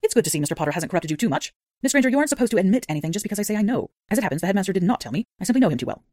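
A man voicing a strict but kindly older woman professor in an audiobook, with formal, gentle authority. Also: high voice, big pitch swings, rapid-fire, dry recording, clean studio sound, voice pushed up. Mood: gently reproving. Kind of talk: audiobook character voice.

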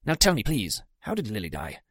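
A man's voice: fairly low pitch, wildly swooping pitch, a bit bright, no echo, clean studio sound, heavily acted. A man voicing a guilt-ridden male potions master who speaks formally and in pain. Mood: grave. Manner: formal, measured, pained.

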